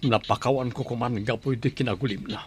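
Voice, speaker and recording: An actor with an Ilocano Filipino accent playing a heartbroken, bitter grown son in a radio drama. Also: male voice, mid-range voice, steady tone, fairly quick, slight background noise, natural voice.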